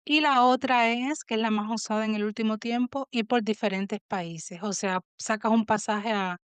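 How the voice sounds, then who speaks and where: A Cuban woman in her thirties, a podcast guest telling her story in a reflective, halting way with many restarts. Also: a little high, clean tone, no room sound. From Cuba. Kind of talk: casual interview.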